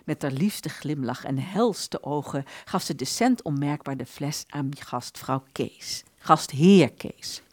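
A woman narrating a literary radio play in an expressive storytelling manner. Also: sits low, slow pace, few pauses, no echo.

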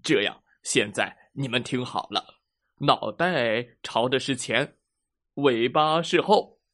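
A man's voice: medium pitch, slow pace, a bit bright. A man playing a grumpy, sighing bear teacher. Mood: lecturing. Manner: exasperated, sighing, scolding. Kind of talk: children's storytelling.

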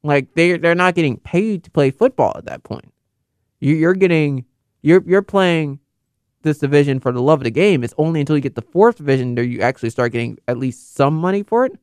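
Young man, casual commentary, rambling, self-correcting, smooth voice, dry close sound. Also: fairly high pitch, animated, fairly quick, a little mellow, no room sound. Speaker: football video host. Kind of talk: casual unscripted commentary from notes.

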